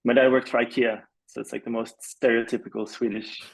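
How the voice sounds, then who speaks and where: Male podcast guest, fry-heavy voice, loose and halting conversational delivery, thinking aloud as he tells his story. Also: mid-range voice, flat delivery, rough recording. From Malaysia. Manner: rambling, hesitant, peppered with "like" and "you know". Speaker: podcast guest, film composer. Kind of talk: casual interview storytelling.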